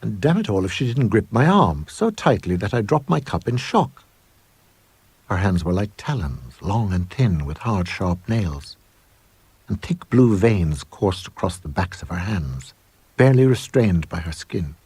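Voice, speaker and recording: A man with a husky voice narrating a ghost story in a measured, expressive, old-fashioned gentlemanly manner. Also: fairly low pitch, no echo. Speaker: reader of an audio ghost story. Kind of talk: dramatic first-person scripted narration.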